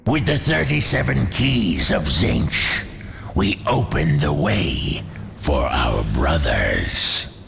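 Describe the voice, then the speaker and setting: A fanatical cultist sorcerer from a dark sci-fi game. He chants solemnly and very slowly in a creaky, theatrical voice, with music behind him. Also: rumbling low, sing-song, exaggerated voice. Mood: ritual fervor. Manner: incantatory, solemn, ritualistic. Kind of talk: theatrical video game villain acting.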